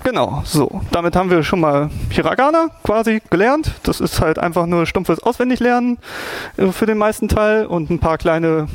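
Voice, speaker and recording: A man's voice: high-pitched, expressive, moderate pace, no room sound. A young man casually explaining Japanese writing, rambling with lots of fillers and restarts. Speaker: presenter explaining Japanese scripts.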